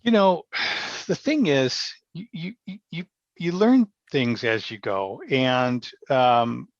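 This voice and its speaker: A man talking casually and reflectively as a podcast guest, thoughtful and halting, often pausing mid-sentence. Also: medium pitch, dry recording. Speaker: podcast guest, amateur theater member. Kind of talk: casual reflective interview conversation. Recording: dry, dull lo-fi sound.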